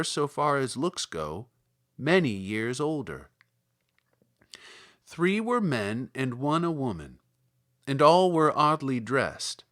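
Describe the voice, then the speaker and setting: A man reading a classic children's story aloud as narrator, in an expressive, measured storytelling manner. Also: fairly high pitch. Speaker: audiobook narrator.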